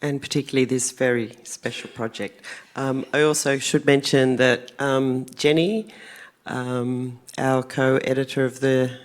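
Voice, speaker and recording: An Australian woman from Central Australia moderating a public panel as curator, giving gracious, gentle acknowledgements. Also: rumbling low, steady tone, measured pace. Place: theatre stage at a gallery event.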